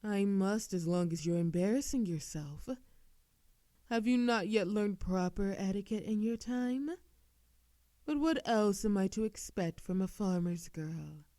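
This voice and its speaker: A woman's voice: mid-range voice, a little slow, mellow, no room sound, studio quality, natural voice. A woman playing an ancient, composed vampire queen in an ASMR roleplay, speaking in a formal, patronizing manner. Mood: condescending. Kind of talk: ASMR audio roleplay acting.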